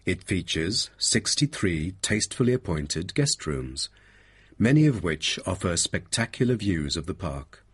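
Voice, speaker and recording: A man reading a scripted guided presentation as a helpful guide with a husky voice. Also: deep voice, very animated, a little slow, full character voice. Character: helpful male guide presenting a park's facilities. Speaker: voice actor reading listening test parts. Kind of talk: scripted narration.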